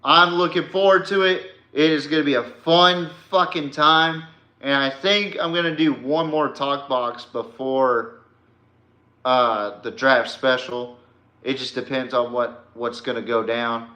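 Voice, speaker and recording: A man from Texas hosts a sports podcast in a roomy, echoing space. His voice is bright and forceful, and he takes his time as he talks. The delivery is informal and slangy, with a folksy touch. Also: medium pitch, steady tone, unhurried, muffled recording. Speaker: podcast host. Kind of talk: casual sports talk to viewers. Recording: roomy, echoey sound.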